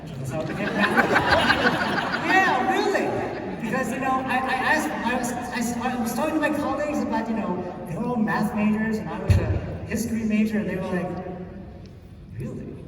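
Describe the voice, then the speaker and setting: A man from New York City giving a short conference talk as a math teacher, relaxed and conversational with frequent "um"s. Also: very high-pitched, a little fast, a crowd in the background. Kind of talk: short prepared talk on stage. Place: large conference hall. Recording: echoey hall, picked up from far off, noisy.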